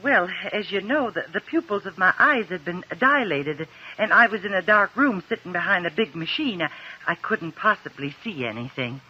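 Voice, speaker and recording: A man playing a flustered, hesitant courtroom witness in 1950s radio drama, stammering and halting. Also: sits high, sing-song, sharp tone, husky, heavily acted. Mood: nervous. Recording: tinny old radio recording.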